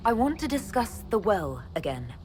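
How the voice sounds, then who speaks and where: A woman's voice: medium pitch, very animated, moderate pace, background noise, lightly acted. An actress playing a skeptical, direct heroine who leads others in a fantasy game, serious and probing in her questions. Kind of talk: dramatic scripted game dialogue.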